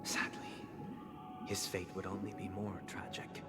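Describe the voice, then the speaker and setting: A man playing a grave, polite, melancholy family head in a video game, speaking in measured, formal monologue with rhetorical pauses. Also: low voice, completely flat delivery, gravelly. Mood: somber, apologetic. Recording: long reverb, loud music behind. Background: loud game music.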